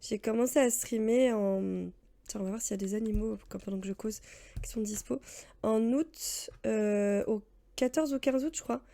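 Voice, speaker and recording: A woman in her late thirties streams a game and chats loosely with her viewers, wandering between topics with plenty of fillers and laughter. Her voice sits a little high but has a soft, mellow tone. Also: moderate pace, creaky. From France. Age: 30s. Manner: rambling, chatty, laughs often, answers chat. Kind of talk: casual let's-play chat.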